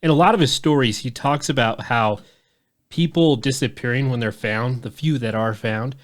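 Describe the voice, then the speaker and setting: A man in his thirties chatting on a podcast at a middle pitch. He rambles loosely, circles back on himself and drops curse words without a second thought. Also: moderate pace. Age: 30s. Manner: loose and rambling, swears freely. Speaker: podcast host. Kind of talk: casual podcast chat.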